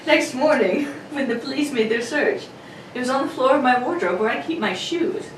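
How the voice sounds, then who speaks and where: A distraught young governess played by a woman pleads her case in old radio drama style. Her voice is fairly high, halting and melodramatic, picked up from a distance in a roomy space with noticeable noise. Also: lively intonation. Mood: earnest, insistent. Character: distraught, earnest young governess accused of murder. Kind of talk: 1940s radio drama acting.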